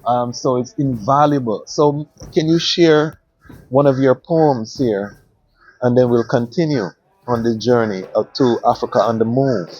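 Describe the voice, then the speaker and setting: A man hosting a conversational interview, halting and repeating words, recorded in dull lo-fi sound. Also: medium pitch, a little mellow. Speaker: talk show host.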